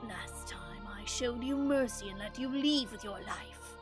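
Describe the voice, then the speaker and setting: A woman voicing a smug, menacing male villain lord in a video game, hushed, formal and condescending. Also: medium pitch, a little slow, over music, voice pushed down, lightly acted.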